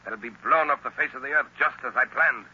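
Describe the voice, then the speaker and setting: An actor playing a commanding, scheming hypnotist professor with a deliberate, menacing manner in 1930s radio drama style. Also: male voice, medium pitch, bright, forceful tone, slightly rough. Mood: cold, scheming. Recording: muffled, noisy 1930s radio recording.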